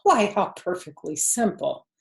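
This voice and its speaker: A woman voicing a clever, calm female spider with a thoughtful, self-assured manner, in a storybook reading. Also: mid-range voice, a little slow, gravelly. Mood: thoughtful, confident.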